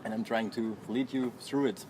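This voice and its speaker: A man's voice: lowish voice, fairly even intonation, moderate pace, darkish tone. A man informally moderating a live panel discussion, putting questions to the guests. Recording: very noisy event, vehicle sounds behind.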